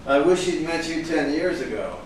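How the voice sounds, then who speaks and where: Man acting protective friend, high voice, echoey. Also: animated, normal speed, close to an everyday voice. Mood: admiring. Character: protective, admiring male friend of a writer. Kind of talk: staged play reading. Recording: echoey room from far off, faint noise.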